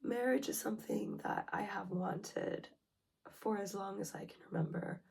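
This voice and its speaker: A young woman getting over a cold, hoarse and breathy, talking through a personal self-help vlog with hesitant ums. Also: mid-range voice, steady tone. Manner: slow, hesitant, with many ums and soft whispery breaths. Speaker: relationship vlogger.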